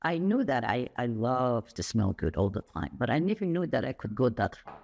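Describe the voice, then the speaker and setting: A woman who grew up in Saudi Arabia, a podcast guest telling stories in an animated, rambling way with sudden jumps up in pitch. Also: normal speed, creaky. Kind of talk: conversational interview.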